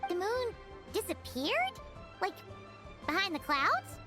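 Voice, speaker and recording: A woman voicing a tiny, excitable and easily worried fairy companion in a video game, with a squeaky, piercing, childlike delivery. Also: slow pace, over music, exaggerated voice. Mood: alarmed. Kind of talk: animated video game voice acting.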